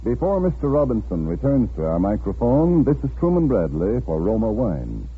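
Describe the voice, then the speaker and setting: An American man announcing commercials in the polished selling style of 1940s radio, with a husky voice. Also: on the low side, huge pitch swings, normal speed, a little hiss or hum. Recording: tinny band-limited 1940s radio broadcast.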